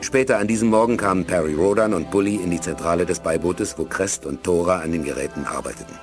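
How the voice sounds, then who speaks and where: A man's voice: deep voice, fast talker, over music. A man narrating a 1980s German radio play in a scripted storytelling style.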